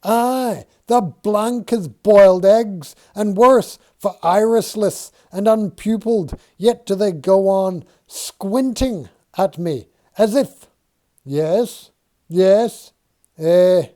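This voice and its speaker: A man doing an excitable old-fashioned surveyor. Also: sits high, slow, pitched above the performer's own voice. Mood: agitated. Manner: archaic thee-and-thou speech, loud and agitated. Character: excitable Quaker surveyor man, earnest, easily startled.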